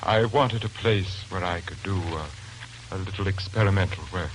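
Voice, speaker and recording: Man acting deep-voiced, brooding secretive gentleman. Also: moderate pace, noisy background, natural voice. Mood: polite, reserved. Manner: soft, hesitant, courteous, intense. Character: quiet, brooding, secretive gentleman lodger, a self-styled pathologist. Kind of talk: 1940s radio drama acting. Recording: old 1940s radio broadcast, music behind.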